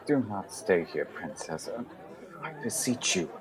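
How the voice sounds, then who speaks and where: An actor playing a devoted, anxious young captain in a classical audio drama, deferential and halting as he pleads. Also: low voice, expressive, crowd noise. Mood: pleading.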